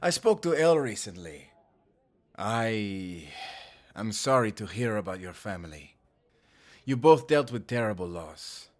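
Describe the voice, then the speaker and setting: A smug Spanish-accented charmer sliding onto the next bar stool in an audio drama, acted by a man, formal, flirtatious and condescending, his pitch gliding up and down. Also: very animated, a little slow, a little mellow. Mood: warm, sympathetic. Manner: formal, smooth-talking, condescending. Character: suave, smug Spanish gentleman, flirtatious wannabe warlock. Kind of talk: audio roleplay acting.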